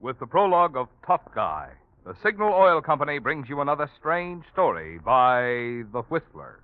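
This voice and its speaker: An American male announcer in classic forties radio style. His fairly high voice moves in big lively swings of pitch. The recording is dry and narrow, like an old broadcast. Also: moderate pace, a little hiss or hum. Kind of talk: 1940s radio sponsor announcement.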